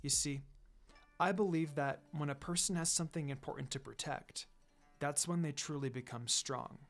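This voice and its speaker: Gentle androgynous teen boy, read by a man, soft-spoken, polite, measured storybook delivery over music. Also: fairly high pitch, pitched below the performer's own voice. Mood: gently encouraging. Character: gentle, pretty teenage boy, mistaken for a girl.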